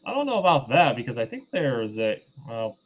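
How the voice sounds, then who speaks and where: A man hosting a casual film review discussion, speaking in a halting, hesitant way. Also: medium pitch, sing-song, dull audio. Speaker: podcast host.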